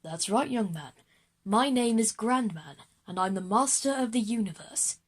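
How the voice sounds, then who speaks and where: A woman voicing a posh, polite, genteel gentleman who is grand and apologetic, in a children's audio drama. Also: fairly high pitch, expressive, normal speed. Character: posh, polite gentleman, self-styled master of the universe.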